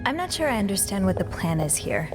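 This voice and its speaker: An actress playing an earnest, curious young amateur sleuth in a video game, speaking in a hesitant, thoughtful, understated way. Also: female voice, medium pitch, sing-song, fast talker, over music, close to an everyday voice. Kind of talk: naturalistic video game acting.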